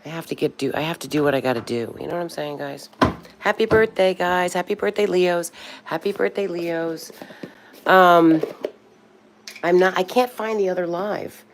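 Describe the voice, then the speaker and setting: Low-voiced woman in her sixties rambling live. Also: normal speed, background noise. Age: 60s. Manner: chatty, sings snatches of birthday songs to herself. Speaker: livestream host. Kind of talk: rambling casual livestream chat.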